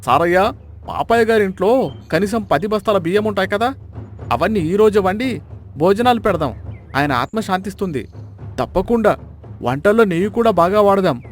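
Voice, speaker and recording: A man's voice: sits high, animated, moderate pace, pauses often, soft background music. A man voicing a sly, mock-solemn villager in a dramatised storybook tale.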